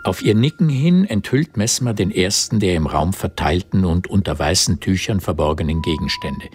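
A man's voice: deep voice, animated, moderate pace, darkish tone. A man narrating a historical radio drama as its scripted literary storyteller. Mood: observant.

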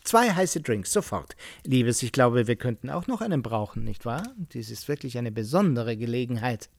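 An actor playing a talkative middle-aged host in a radio play, genial with a needling edge and effusive small talk. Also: male voice, mid-range voice, animated, normal speed, vocal fry. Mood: hearty, hospitable. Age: 40s. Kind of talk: naturalistic radio play acting.

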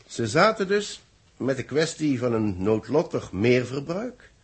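An actor playing an authoritative man dictating the story of a space mission, measured and formal, in a 1960s radio drama. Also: medium pitch, very animated, slightly rough, full character voice. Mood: explanatory. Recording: muffled-voiced old 1960s radio recording.

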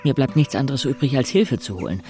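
An actor playing an introspective, wry teenage boy who narrates his own life in a measured, literary audio drama style. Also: medium pitch, animated, natural voice. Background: music.

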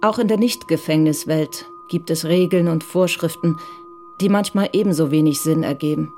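A woman's voice: low voice, moderate pace, warm tone, soft background music. A woman narrating a crime radio drama as a composed, detached storyteller. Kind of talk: scripted literary narration in a radio play.

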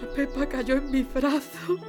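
A woman's voice: high voice, level tone, unhurried. An actress playing a spirited young working-class woman protester in a radio drama. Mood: anguished. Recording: faint music behind dialogue.

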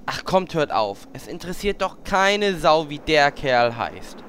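A man performs a blunt, grumbling hero in a fantasy parody radio play. The voice is fairly high and very animated, with a mocking edge. Faint noise is behind it. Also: unhurried. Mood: annoyed, mocking.

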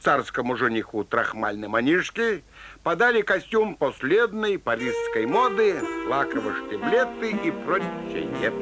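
Lively, high-pitched folksy storyteller. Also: male voice. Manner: theatrical, playful, rustic folk-tale delivery. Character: folksy male storyteller of a Russian comic fairy tale. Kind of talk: scripted fairy-tale narration.

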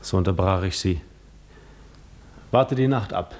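An actor playing a brooding male wanderer in a 1950s German radio drama, gravely recounting a painful farewell in measured first person. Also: sits low, darkish tone. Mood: pleading, intent.

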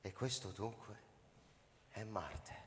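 An actor playing a bewildered, anxious space captain in a radio drama, halting and thinking aloud as suspicion grows. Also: male voice, fairly low pitch, expressive, a bit bright, a little hiss or hum. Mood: quiet wonder. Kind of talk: radio drama acting, inner monologue. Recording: long reverb, music underneath.

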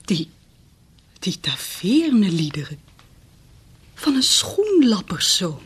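An actress playing a proud, sharp-tongued young lady in a radio play, with a breathy voice and a cool, probing manner. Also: mid-range voice, big pitch swings, slow pace, full character voice. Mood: scornful. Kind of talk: 1970s radio play acting. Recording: radio play with faint music.

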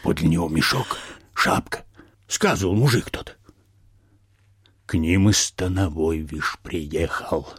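An actor playing a plain-spoken peasant man in a radio play, telling village news in a folksy, halting way. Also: deep voice, expressive, breathy edge, dry recording, studio quality, full character voice. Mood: grave, hushed.

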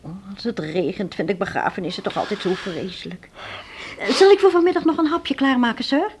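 A woman acting a motherly, obliging housekeeper in a radio play. She has a medium-pitched, lively voice, chatty yet deferential, on a faintly noisy old recording. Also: a little fast, lightly acted. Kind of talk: radio drama dialogue.

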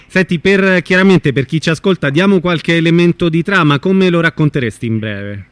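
An Italian man hosts a radio interview in a fairly high voice. He speaks conversationally, putting questions to his guests. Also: animated, normal speed, clean tone, no echo. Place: radio programme.

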